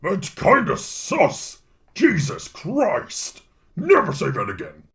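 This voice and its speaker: A man voicing a gruff, sarcastic alien symbiote antihero in a story, grudging and sardonic. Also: sits high, wildly swooping pitch, slow pace, fairly bright tone, far from the mic, pitched above the performer's own voice, exaggerated voice. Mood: annoyed sarcasm.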